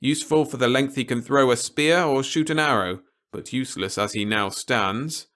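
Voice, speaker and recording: A man voicing a stern, articulate male official in an audiobook, with emphatic, deliberate, formal phrasing. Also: medium pitch, a bit bright, voice pushed up, close to an everyday voice. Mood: blunt, explanatory. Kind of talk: audiobook character dialogue.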